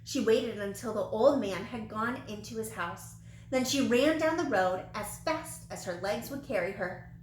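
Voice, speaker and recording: A woman reading a children's storybook aloud in an expressive, animated way with dramatic emphasis. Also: on the high side, measured pace, a little hiss or hum. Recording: roomy, echoey sound.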